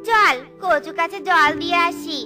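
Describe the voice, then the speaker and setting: Woman playing a high-pitched, dramatic village mother. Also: a little slow. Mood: resolute, reassuring. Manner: dramatic, emphatic. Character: steadfast elder village widow and devoted, emotional mother. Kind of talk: children's cartoon voice acting. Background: children's music.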